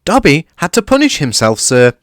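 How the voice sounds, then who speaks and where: A man voicing a small, servile and tearful male house elf who talks about himself by name in a high, excitable way. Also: normal speed, no room sound, clean studio sound. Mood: earnest.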